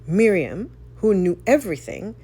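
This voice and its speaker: A woman reading a folk tale aloud in an expressive, dramatic storytelling style. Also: mid-range voice, measured pace, slightly rough. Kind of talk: expressive scripted story narration.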